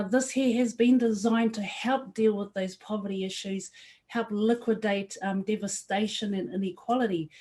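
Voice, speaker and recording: A young woman from New Zealand giving an earnest promotional presentation for her company, often pausing on ums and restarting phrases. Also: mid-range voice, a little mellow.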